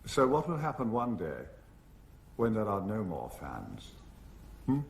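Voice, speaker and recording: A man's voice: lowish voice, wildly swooping pitch, a little slow, echoey room. A man asking probing questions as an interviewer. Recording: faint noise, older video interview.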